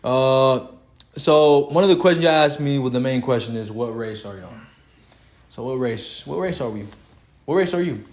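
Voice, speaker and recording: A man's voice: medium pitch, moderate pace. Preachy young Texan man in an echoey room. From Houston, Texas. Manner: preachy, emphatic, swearing freely. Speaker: podcast host. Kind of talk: casual podcast rant.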